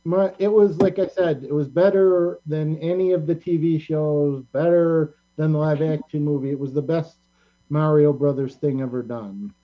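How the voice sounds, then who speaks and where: Low-pitched woman in casual chat, muffled audio. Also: moderate pace. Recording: dull muffled audio with mains hum.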